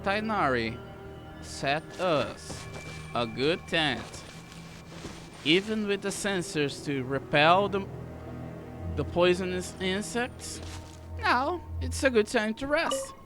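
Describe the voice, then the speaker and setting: A streamer giving casual, halting commentary while playing a game, reacting aloud to the dialogue. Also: mid-range voice, animated, over music.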